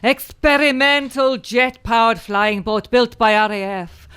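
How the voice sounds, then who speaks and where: A woman's voice: a little high, measured pace, a bit bright, clear, resonant tone. A woman in an amateur comic radio play voicing a scheming Soviet spy disguised as a nun, barking orders in a put-on heavy Russian accent. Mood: commanding.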